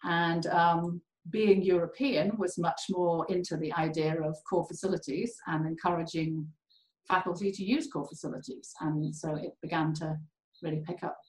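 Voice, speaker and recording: A British woman telling candid stories in an interview, animated and quick to laugh. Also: fairly low pitch, roomy sound, rough recording. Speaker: core facility director. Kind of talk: candid conversational storytelling.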